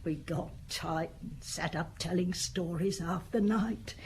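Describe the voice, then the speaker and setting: An actress playing a blunt, sociable middle-aged widow who keeps a small bar, talking plainly and colloquially in a radio play. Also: female voice, on the low side, slow pace, a little hiss or hum. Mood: reminiscing. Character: fat, sociable, blunt older widowed woman bar keeper. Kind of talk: radio drama acting.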